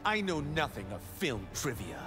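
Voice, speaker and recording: An actor playing a proud, cocky fighter in a video game, deliberate and dismissive. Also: male voice, a little high, very animated, over music. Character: proud, cocky male fighter in a broad hat.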